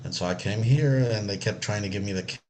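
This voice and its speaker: Man from Israel, deep low voice, easygoing and rambling, lots of uhs, faint background hum. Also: a little fast, poor audio. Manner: relaxed and meandering, many fillers and restarts. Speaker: accessibility analyst. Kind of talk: casual personal story.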